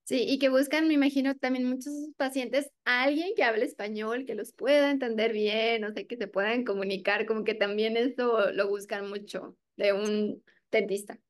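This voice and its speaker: A woman's voice: a little high, steady tone, normal speed, hardly any pauses. A woman hosting an interview, asking friendly questions and giving short backchannels. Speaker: interview host. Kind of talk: casual interview chat.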